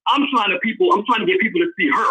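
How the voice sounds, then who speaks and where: A man with a New York accent calling in, talking fast and earnestly. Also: high-pitched, lively intonation, sharp tone, breathy edge. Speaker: caller. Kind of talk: casual chat. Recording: muffled phone-in audio.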